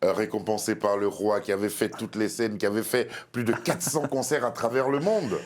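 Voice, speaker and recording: A man's voice: lowish voice, normal speed. A man hosting an interview, asking short questions and giving brief backchannel replies. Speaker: interviewer, host.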